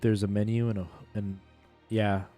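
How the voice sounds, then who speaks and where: A man chatting casually and a bit haltingly while he streams a game, thinking aloud in an informal way. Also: lowish voice, level tone, warm tone. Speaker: live streamer. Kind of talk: casual chat while gaming. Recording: music playing behind the voice.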